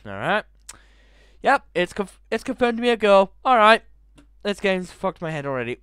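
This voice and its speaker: Young guy on a headset reading a visual novel, lively pitch, smooth voice. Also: moderate pace, dry recording, clean studio sound. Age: young adult. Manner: reads narration in a hushed tone, reacts with loud outbursts. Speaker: gaming video host. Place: small bedroom. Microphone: headset mic.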